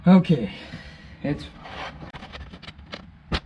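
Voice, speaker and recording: A man's voice: very slow, background noise. A man casually narrating as he installs parts in a Jeep, muttering to himself and getting mildly frustrated. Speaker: DIY vehicle modder. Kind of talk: casual narration while working. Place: in and under a Jeep.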